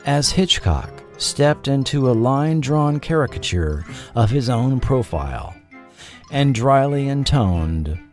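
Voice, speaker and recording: A man narrating a scripted documentary in a measured, deliberate way, letting his phrases fall at the end. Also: mid-range voice, a little mellow. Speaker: podcast host and narrator. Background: background music.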